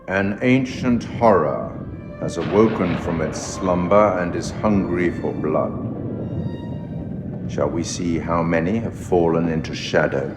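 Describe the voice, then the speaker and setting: An actor playing a sinister, urbane keeper of tales in a horror game, speaking in measured, formal, wry and ominous monologue. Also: male voice, deep voice. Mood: ominous, grave. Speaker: curator, narrator of a horror game. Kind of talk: theatrical scripted game monologue. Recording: echoey long reverb, music behind. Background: orchestral music.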